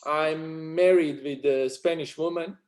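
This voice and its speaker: A man's voice: on the high side, fairly even intonation, a little slow, a little mellow. A man from Serbia with a Serbian accent, a sports agency owner speaking non-native English in a casual interview, full of restarts and fillers.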